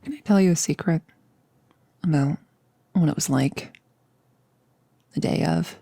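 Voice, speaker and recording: A woman playing a nervous, chatty girlfriend in an intimate ASMR roleplay, rambling and confiding with hesitant pauses. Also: sits low, dark tone, husky, no room sound, exaggerated voice. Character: nervous, chatty girlfriend about to become a vampire. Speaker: audio roleplay performer.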